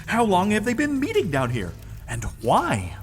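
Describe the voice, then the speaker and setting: A woman playing an earnest, agitated servant of a Dark Lord in an audio drama, with precise, wordy diction. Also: medium pitch, wildly swooping pitch, moderate pace, long reverb tail, a little hiss or hum. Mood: suspicious.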